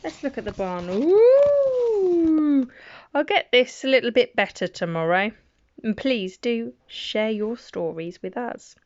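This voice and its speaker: A woman's voice: a little high, sing-song, slow pace, a little mellow, no echo, highs cut off. A middle-aged woman reading children's poems aloud at bedtime, with playful, chatty asides between the poems.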